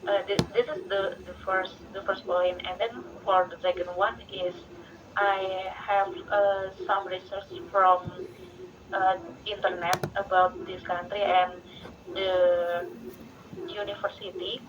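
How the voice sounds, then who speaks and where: A woman's voice: mid-range voice, little pitch movement, takes it slowly. A woman with an Indonesian accent giving a hesitant, informal presentation, searching for words in English as a second language. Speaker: participant presenting in a talk group. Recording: muffled, noisy, distant online call.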